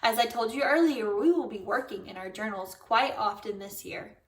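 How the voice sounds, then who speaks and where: A woman's voice: a little high, animated, normal speed, a bit bright. A woman reading a children's book aloud, voicing a kindly, upbeat male first-grade teacher addressing his class. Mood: friendly, upbeat. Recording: roomy echo, distant microphone.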